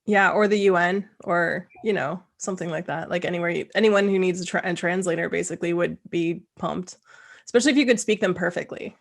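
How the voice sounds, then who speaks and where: A woman's voice: lowish voice, fairly quick, creaky. Chatty woman host, casual chat. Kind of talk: casual video-call chat.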